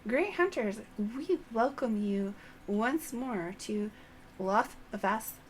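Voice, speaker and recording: A woman voicing a courteous insectoid elder storyteller from a game, with formal, archaic, drawn-out phrasing. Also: on the high side, expressive, slight background noise. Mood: welcoming, solemn. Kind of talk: game dialogue read aloud.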